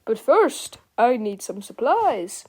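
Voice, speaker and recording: A woman's voice: a little high, big pitch swings, slow pace, a little mellow, slightly rough, heavily acted. Grandiose silly male superhero played by a woman. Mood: heroic. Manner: heroic, grandiose.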